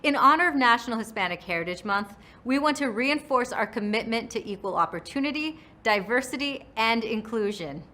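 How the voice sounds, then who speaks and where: A woman's voice: a little high, lively intonation, a little fast, hardly any pauses, bright, forceful tone. A woman hosting a live science show, giving a scripted bilingual introduction and then interviewing a guest. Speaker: host.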